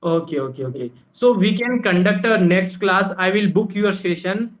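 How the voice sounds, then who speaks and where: Young man, native Hindi speaker, high voice, polite and eager, dull lo-fi call audio. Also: moderate pace. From India. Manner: polite, eager, addresses teacher as ma'am. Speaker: English learner. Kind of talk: online language lesson chat. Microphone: video call.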